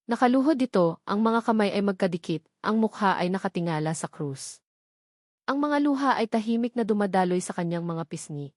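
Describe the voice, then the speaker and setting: A woman reading a scripted romantic drama story as narrator in a steady, even manner. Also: mid-range voice, no room sound, clean studio sound.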